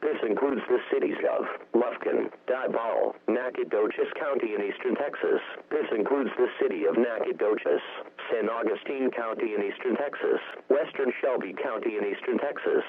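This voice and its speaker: A man reading a formal weather warning broadcast in a flat, methodical way. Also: mid-range voice, normal speed, pauses often. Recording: lo-fi noisy weather radio broadcast.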